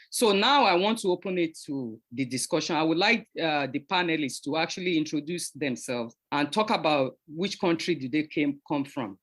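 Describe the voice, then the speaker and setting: A woman from Nigeria opening a panel talk with prepared remarks, speaking in a measured, earnest way and repeating phrases as she goes. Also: sits low. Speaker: panel moderator.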